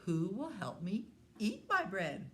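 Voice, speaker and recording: Lady doing a hardworking storybook hen, indignant, draws words out slow, swings up high. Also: wildly swooping pitch, fairly bright tone, slight background noise, heavily acted. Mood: determined. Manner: emphatic, drawn-out words.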